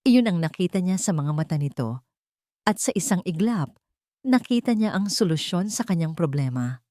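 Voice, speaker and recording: A woman narrating a romance story in a dramatic, scripted style with deliberate, suspenseful phrasing. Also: lowish voice, lively intonation, mellow, no echo, clean studio sound.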